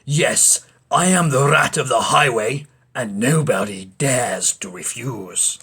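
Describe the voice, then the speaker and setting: A man voicing a greedy, bullying rat bandit in a storybook, with scornful, bellowed, drawn-out commands. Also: mid-range voice, pushed and bright, slightly rough, full character voice. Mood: menacing, scornful. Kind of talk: storybook character voice.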